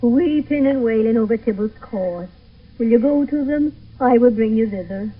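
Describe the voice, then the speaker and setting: An older actress playing a distraught, garrulous old nurse in a 1970s radio Shakespeare play, lamenting in drawn-out repetitions. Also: female voice, a little high, slightly rough. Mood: subdued, comforting. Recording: muffled, noisy old radio broadcast.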